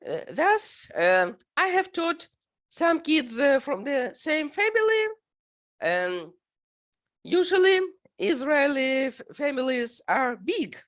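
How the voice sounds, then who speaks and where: Woman, Ukrainian accent, native Russian speaker, high voice, slow and halting, academic presentation. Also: big pitch swings, fairly bright tone. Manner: halting, many fillers.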